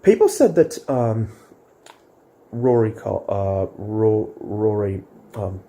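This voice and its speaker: A man's voice: on the low side, lively intonation, slow pace, warm tone. A man co-hosting a casual chat about music and films, often hesitant and searching for words. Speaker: co-host reviewing music and films.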